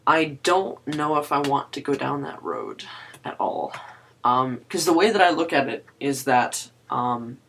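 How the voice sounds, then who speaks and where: A young woman with a low, androgynous voice, hosting a casual video chat and talking to camera with many pauses, ums and restarts. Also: very animated, gravelly, fairly bright tone, a little hiss or hum. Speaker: guest host of a video chat series.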